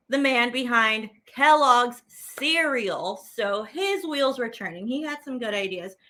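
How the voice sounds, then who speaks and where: A woman's voice: high-pitched, bright, forceful tone. A woman hosting a children's lesson as a teaching artist, speaking playfully and animatedly to viewers at home in simple, repeated phrases.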